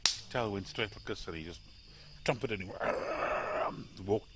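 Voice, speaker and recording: Deep-voiced South African safari guide. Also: male voice, a little slow, noisy background. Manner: rambling, chuckling, trails off and restarts. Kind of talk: campfire storytelling. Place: outdoors by a campfire at night. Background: crickets chirping.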